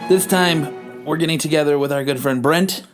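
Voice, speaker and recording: A man's voice: a little high, moderate pace, over music. A man hosting a podcast, chatting in a casual, jokey way with some swearing as he introduces a comedy reading. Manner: jokey, profane. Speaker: podcast host.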